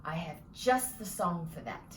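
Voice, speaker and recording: A woman's voice: on the low side, sing-song, moderate pace. A woman presenting interactive storytelling for children in an encouraging, instructive way. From Singapore. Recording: echoey room, distant mic, faint noise.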